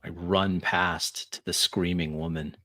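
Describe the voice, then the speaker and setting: Deep-voiced man in slow roleplay narration. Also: level tone, bright, forceful tone. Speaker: tabletop roleplay player. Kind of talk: improvised first-person roleplay narration.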